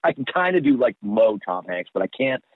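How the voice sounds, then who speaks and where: A man with a Boston accent, a voice actor chatting casually in an interview, rambling with quick restarts. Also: mid-range voice, big pitch swings, no room sound. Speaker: voice actor guest. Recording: tinny phone line.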